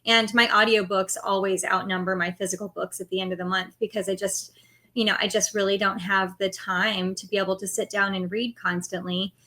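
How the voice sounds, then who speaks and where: Middle-aged woman author, bright voice, chatty and open, laughs a lot. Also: mid-range voice, little pitch movement, brisk. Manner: candid, laughs easily. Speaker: author interview guest. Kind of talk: casual interview answers.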